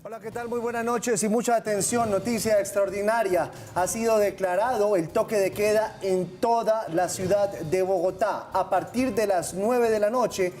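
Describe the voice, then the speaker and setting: A man with a Colombian accent delivers a breaking news bulletin as an announcer. His voice is notably high in pitch. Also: steady tone, moderate pace, darkish tone, faint music behind.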